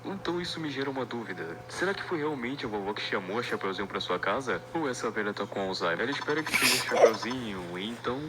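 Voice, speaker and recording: A man's voice: mid-range voice, lively intonation, quick pace, few pauses, very noisy. A man with a Brazilian accent giving casual story commentary, colloquial, with crude joking asides. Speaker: video narrator.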